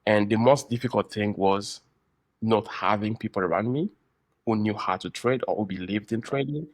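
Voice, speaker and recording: A man hosting a casual podcast interview, speaking in halting, deliberate phrases. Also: lowish voice, no echo. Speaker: podcast host, trader.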